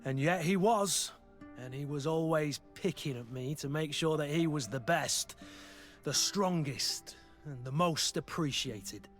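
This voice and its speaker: Game role: loyal, plain-spoken mercenary veteran, male actor, fairly high male voice, earnest delivery over background music. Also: a little slow, close to an everyday voice. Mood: warm, reflective. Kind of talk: video game dialogue acting.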